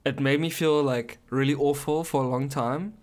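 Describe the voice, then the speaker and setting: A man co-hosting a podcast, chatting casually with swearing and telling anecdotes in an animated way, acting out quotes. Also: medium pitch, normal speed. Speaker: podcast co-host.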